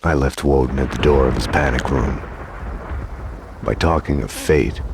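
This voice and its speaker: A brooding, hard-boiled police detective from a noir video game, with an extremely low voice. He speaks in terse, deadpan lines, world-weary throughout. Noticeable noise sits behind him. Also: gravelly, exaggerated voice. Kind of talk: scripted noir game narration.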